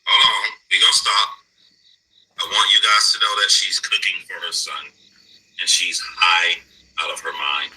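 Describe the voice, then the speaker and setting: A man's voice: low voice, fairly even intonation, normal speed, pushed and bright, breathy edge, recorded from a distance, low-quality recording. A man on a livestream, talking bluntly and accusingly. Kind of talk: casual livestream chat.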